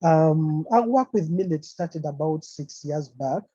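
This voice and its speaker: A man's voice: fairly high pitch, lively intonation, unhurried, dark tone, slightly rough, dry recording. A man from Uganda giving an online conference presentation, talking hesitantly with many fillers over a video call. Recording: dull, lo-fi call audio.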